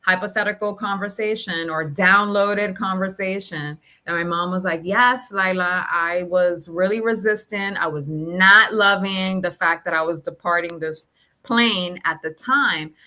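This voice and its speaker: A woman's voice: on the low side, a little slow, sharp tone. A woman in candid, reflective conversation, thinking aloud emphatically in short, broken phrases. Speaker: podcast host sharing personal reflections on grief.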